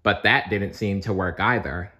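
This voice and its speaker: A man reading a children's storybook aloud as narrator, in an expressive, dramatic storytelling manner. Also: deep voice, normal speed. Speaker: storybook reader.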